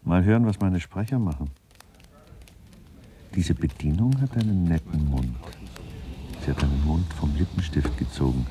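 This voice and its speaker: An actor playing a grumbling, brooding director who mutters a sardonic, literary interior monologue in a radio play. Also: male voice, deep voice, moderate pace, pauses often, mellow, slight background noise, close to an everyday voice. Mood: musing.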